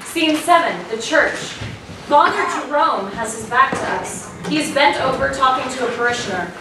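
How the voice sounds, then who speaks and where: A woman's voice: sits high, animated, moderate pace. A woman giving scripted narration, reading out stage directions at a staged play reading. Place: small hall, staged reading before an audience. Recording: very echoey room, distant mic, noisy.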